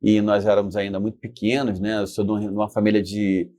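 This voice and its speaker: A middle-aged Brazilian man telling stories casually as a guest, haltingly, repeating himself and often checking for agreement. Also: lowish voice, monotone, warm tone, no echo. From Brazil, born in Espírito Santo. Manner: halting, repetitive, often seeks agreement with tag questions. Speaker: interview guest, business founder. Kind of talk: casual storytelling in conversation.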